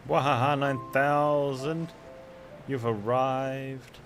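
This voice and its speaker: A man's voice: mid-range voice, steady tone, slow pace, over music. A man chatting playfully as he plays a game live, talking to himself and his viewers. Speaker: game streamer. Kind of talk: casual live game commentary.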